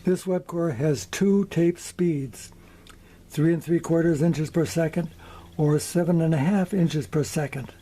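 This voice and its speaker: An older man in his seventies narrating a scripted demonstration in a measured, methodical way. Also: fairly high pitch, dark tone, breathy edge. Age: older, around 70s. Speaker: presenter of vintage electronics. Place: small indoor electronics workshop.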